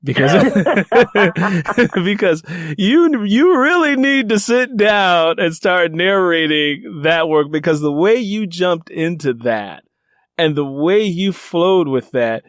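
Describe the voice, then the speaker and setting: A man's voice: sits high, lively intonation, takes it slowly, fairly bright tone, no room sound, studio quality. A man hosting a book interview podcast, talking conversationally and reflectively, often repeating and rephrasing for emphasis.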